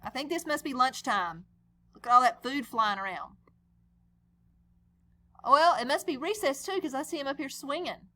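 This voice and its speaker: A woman's voice: sits high, animated, moderate pace, long pauses, sharp tone. A woman reading a picture book aloud as a teacher would, chatting conversationally to young listeners with questions and explanations. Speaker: read-aloud storyteller and teacher.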